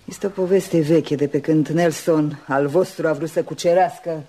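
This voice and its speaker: An actress playing an eccentric, melancholy old Spanish lady in a radio play, musing and wandering, sometimes dropping to a hush. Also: low-pitched, steady tone. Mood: wistful, hushed. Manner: wandering, musing, with little laughs and sudden hushes. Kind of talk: Romanian radio theatre acting. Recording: noisy old radio drama recording.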